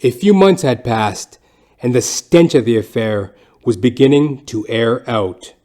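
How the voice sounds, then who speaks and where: A man's voice: medium pitch, lively intonation, a little slow, warm tone. Theatrical man narrating a comic monologue. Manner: theatrical, mock-grandiose, wry. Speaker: comedy podcast host, storyteller. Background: music.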